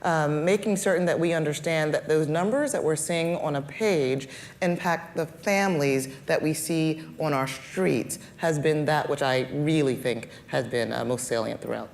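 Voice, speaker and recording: A woman guest with a deeper voice answering on a panel, speaking in a deliberate, measured way with formal wording. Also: vocal fry, darkish tone, a little hiss or hum. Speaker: guest, healthcare executive.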